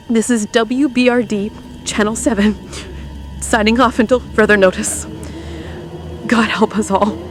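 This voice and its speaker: A woman playing a weary emergency radio announcer in an apocalypse radio drama, speaking in a grave, deliberate manner. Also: on the high side. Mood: resigned, somber. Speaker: radio news broadcaster. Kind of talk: scripted radio drama broadcast.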